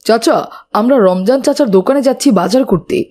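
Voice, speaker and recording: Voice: medium pitch, normal speed, dark tone, natural voice. A woman voicing a polite, dutiful, earnest schoolboy in a children's cartoon.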